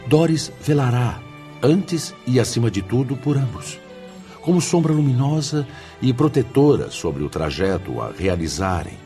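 An actor playing a grave, fatherly spirit director in a Brazilian radio drama, speaking in a solemn, measured and formal way with a Brazilian accent. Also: medium pitch, big pitch swings, dark tone. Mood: calm, instructive. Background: music.

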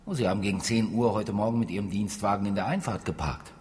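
An actor playing a brusque, matter-of-fact police detective in a radio crime drama. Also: male voice, lowish voice, quick pace, darkish tone, in a large hall, lightly acted. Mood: questioning.

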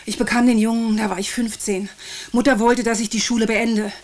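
An actress playing a brisk, demanding female client in a radio drama, speaking in a curt, self-assured way. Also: on the high side, flat delivery, close to an everyday voice. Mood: tense, guarded. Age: middle-aged.

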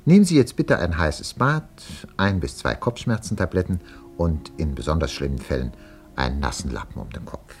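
Casting: fussy mock-solemn radio announcer, deep voice, very lively intonation, faintly noisy vintage recording. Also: male voice, normal speed, faint music behind. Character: fussy, flustered, melodramatic male radio announcer. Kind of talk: 1950s German radio drama narration, parody reportage.

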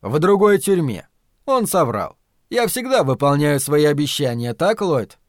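A man voicing a sinister, commanding dark master who taunts and purrs with false tenderness in an audiobook. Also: fairly high pitch, big pitch swings, unhurried, dry recording, clean studio sound. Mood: smug, menacing. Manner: imperious, taunting, swinging from roars to purring.

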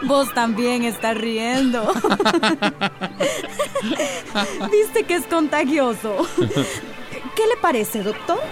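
A joyful, affectionate woman in a radio drama. Her high voice is lively and unhurried, with loud music behind it. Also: heavily acted. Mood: playful. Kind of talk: radio soap opera acting.

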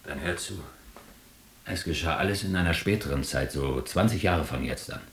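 A man's voice: low-pitched, moderate pace, fairly bright tone, reverberant. A man acting a wry, bookish East German drinker in a radio play, storytelling with mock-learned irony. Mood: dreamy wry. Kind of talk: radio play narration and dialogue.

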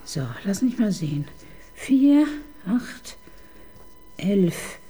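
An actress playing a sharp, bossy female chief inspector in a radio crime drama, ordering her assistant around with impatient sarcasm. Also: mid-range voice, very animated, extremely slow, a little hiss or hum. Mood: dry. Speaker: police detective chief inspector.

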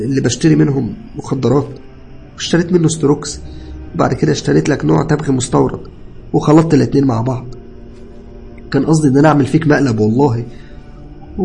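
A man's voice: on the high side, creaky, dark tone, voice pushed up. A man voicing a guilt-ridden young cousin who cries, stammers and pleads in Egyptian Arabic, in an audio story. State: crying. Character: distraught tearful young male cousin, confessing guiltily.

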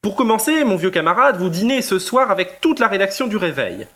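Man playing grandiloquent lawyer, very high male pitch, animated intonation, formal, flattering, emphatic, radio play. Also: a little fast, full character voice. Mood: rousing, grandiloquent. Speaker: actor in an amateur audio drama. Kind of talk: theatrical French audio drama acting.

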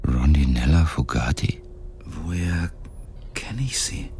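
A man acting a groggy, grumbling businessman in a radio drama, muttering tersely and dryly in a gravelly voice. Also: extremely low pitch, takes it slowly, darkish tone, a little hiss or hum, full character voice. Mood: groggy, irritated. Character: hungover, grumbling businessman in a sci-fi future.

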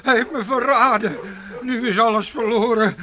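An actor playing a despairing, exhausted fugitive gatekeeper in a youth radio play, plaintive and defeatist. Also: male voice, very high voice, moderate pace, crowd noise.

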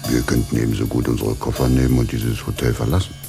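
An actor playing a world-weary, hard-drinking fake hotel manager in a radio play, dry and sardonic. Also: male voice, a bass voice, little pitch movement, quick pace. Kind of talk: German radio play acting. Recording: studio radio play with music behind.

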